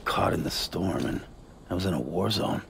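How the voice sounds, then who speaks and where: Gruff courier hero, very deep, gravelly, weary, terse, video game drama, ambient noise. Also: male voice, expressive, normal speed, exaggerated voice. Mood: shaken, insistent. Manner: terse, muttering. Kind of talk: cinematic video game acting.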